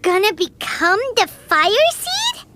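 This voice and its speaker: Squeaky, very high-pitched fairy companion. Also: female voice, wildly swooping pitch, takes it slowly, noisy background, full character voice. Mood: distressed pleading. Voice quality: squeaky, childlike. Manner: emotional, exclamatory. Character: small childlike fairy companion, anxious, excitable, speaks in third person. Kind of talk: video game voice acting. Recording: loud game music behind.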